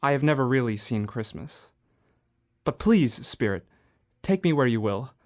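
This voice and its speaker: A man plays an old miser in a radio play, humbled and earnest, with a mid-pitched voice. He pleads softly with the spirit. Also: animated, normal speed, lightly acted. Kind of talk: student radio drama acting.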